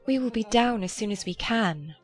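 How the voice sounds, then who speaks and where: A woman voicing a gentle, modest young woman, polite and earnest, in a dramatized classic-novel audiobook. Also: medium pitch, animated, normal speed, faint music behind, close to an everyday voice. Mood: composed.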